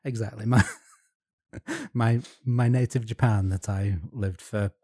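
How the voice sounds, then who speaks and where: A man's voice: on the low side, steady tone, slow pace, warm tone, slightly rough, dry recording, studio quality. A man with a Yorkshire accent co-hosting a podcast, chatting in a self-deprecating, jokey way. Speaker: podcast co-host. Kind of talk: casual podcast discussion.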